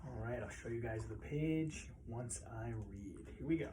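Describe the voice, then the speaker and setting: A man reading a children's picture book aloud as a storyteller, expressively, with pauses and emphasis. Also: medium pitch, noisy background.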